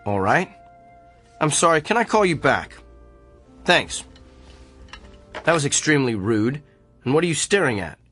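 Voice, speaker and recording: A man's voice: fairly high pitch, very animated, unhurried, pauses often, a bit bright, faint music behind. An actor playing a pompous, defensive male doctor with an inflated view of his gift, in a radio drama. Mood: irritated, curt. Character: arrogant, self-important gifted male doctor.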